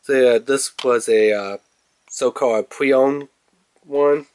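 Man, stuffy nose, rambling casual talk, game unboxing vlog, mid-pitched voice, filler-heavy, indoors at home, phone or camera held over a table. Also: takes it slowly, darkish tone, smooth. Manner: rambling, filler-heavy, talks to camera while handling the case. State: stuffy nose, congested, clearing throat. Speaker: gaming channel host.

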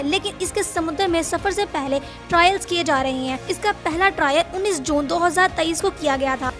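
A woman's voice: very high-pitched, a bit bright. A woman, hosting a fact channel and reading scripted narration that addresses viewers as friends. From Pakistan. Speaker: channel host. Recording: voice-over with faint background music.

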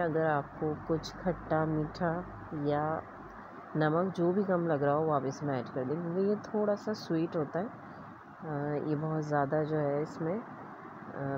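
Female home-cooking host, low pitch, explaining steps plainly, noisy lo-fi recording. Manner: explanatory, step-by-step instruction. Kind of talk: recipe voice-over narration. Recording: dull lo-fi audio with clear background noise.

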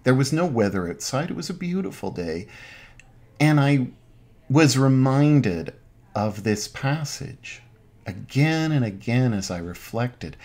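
A middle-aged man, an Anglican priest, giving an informal online sermon from his home den in measured, halting phrases. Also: mid-range voice, a little mellow. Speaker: Anglican priest, parish pastor. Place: small home den with bookshelves.

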